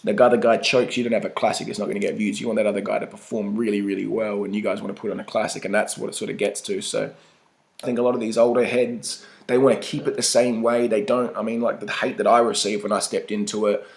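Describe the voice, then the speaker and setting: A young Australian man speaks in a low voice with a gravelly, creaky edge. He talks informally in slang, as if chatting to a documentary camera about his own experience. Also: little pitch movement, fast talker. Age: young adult. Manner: informal, slangy, mild swearing. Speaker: battle rapper being interviewed. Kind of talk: casual documentary interview.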